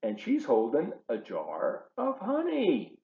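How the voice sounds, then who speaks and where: A man reading a children's book aloud in an expressive, emphatic, teacherly way, adding chatty asides for his young listeners. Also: fairly high pitch, slow, gravelly. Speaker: volunteer children's storybook reader. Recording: roomy echo, slightly dull sound.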